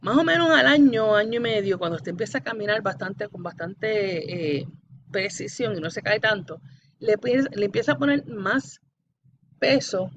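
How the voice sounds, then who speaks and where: A woman's voice: mid-range voice, a bit bright. Woman lecturing over dull, noisy audio. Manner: didactic, checks understanding often, addresses the student directly. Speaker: anatomy lecturer. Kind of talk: explanatory classroom-style lecture. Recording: dull lo-fi audio with background noise.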